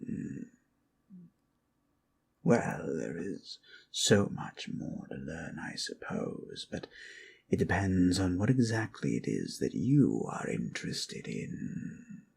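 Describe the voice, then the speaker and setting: A man voicing a courteous, rambling undead lich healer and storyteller in an ASMR fantasy roleplay, unhurried, musing and formally polite, with a gravelly, sometimes breathy voice. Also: low-pitched, very animated, a little mellow, slight background noise, exaggerated voice. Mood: inquisitive, inviting.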